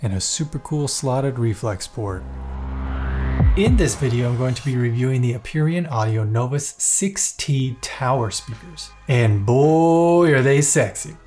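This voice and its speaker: A man narrating a product review in a scripted, upbeat way, playful and openly excited. His pitch sits in an ordinary middle range. Soft music plays faintly underneath. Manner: playful, enthusiastic.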